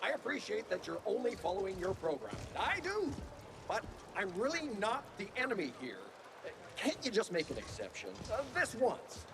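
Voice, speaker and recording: High, creaky, exasperated pleader. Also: male voice, wildly swooping pitch, normal speed, heavily acted. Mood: exasperated, pleading. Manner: pleading, rambling. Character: exasperated chatty man pleading with a security machine. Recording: loud ambient noise behind voice.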